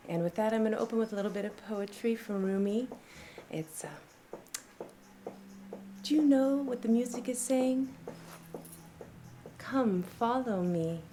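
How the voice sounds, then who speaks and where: A woman giving a spiritual, inspirational address with poetry, speaking reverently with dramatic, drawn-out emphasis. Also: a little high, dark tone. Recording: live stream with faint background noise.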